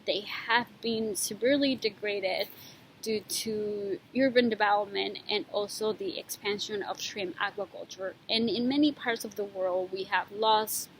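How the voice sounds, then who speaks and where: A woman's voice: mid-range voice, slight background noise, poor audio. Woman from Ecuador explaining her research. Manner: thoughtful, with frequent pauses and fillers. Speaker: PhD student. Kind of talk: informal talk about her research.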